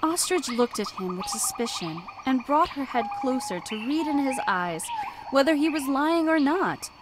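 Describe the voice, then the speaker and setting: A woman's voice: sits high, animated, normal speed, noisy background. A woman reading a scripted children's bedtime story as its narrator.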